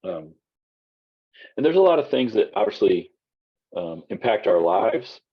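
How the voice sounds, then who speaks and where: Low husky man lecturing casually. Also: big pitch swings, unhurried, dull audio. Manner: casual, rambling, many fillers and restarts. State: losing his voice. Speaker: college instructor. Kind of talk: online class lecture.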